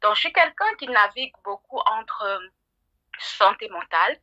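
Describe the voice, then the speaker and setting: A middle-aged woman speaks as an expert guest, explaining in a conversational way. Her voice is bright and forceful, and the recording is dry and a little dull. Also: mid-range voice, lively intonation, moderate pace, dry recording. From Cameroon. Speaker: psychologist guest.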